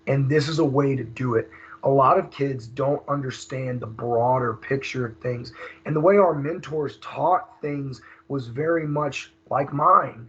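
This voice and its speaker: A hoarse man, leading a recorded teacher training seminar in a conversational way, with many fillers and restarts. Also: mid-range voice, unhurried, fairly bright tone. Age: 30s. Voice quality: raspy. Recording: dull lo-fi screen recording.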